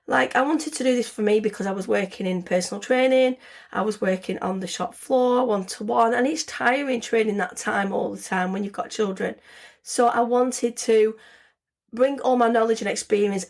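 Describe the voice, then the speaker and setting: Hoarse, chatty woman. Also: a little high. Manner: chatty, rambling, frequent restarts. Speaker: podcast host. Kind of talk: casual solo podcast talk.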